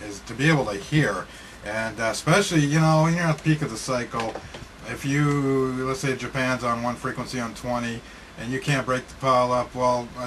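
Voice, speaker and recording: A man chatting casually as an amateur radio operator, talking in a halting, matter-of-fact way. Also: medium pitch, fairly bright tone. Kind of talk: casual chat over ham radio. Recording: clearly noisy ham radio recording.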